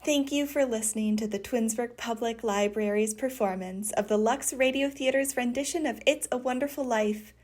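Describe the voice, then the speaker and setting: A woman presenting a scripted closing announcement in a measured way. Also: on the high side, a little mellow, a little hiss or hum. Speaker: presenter of library radio play.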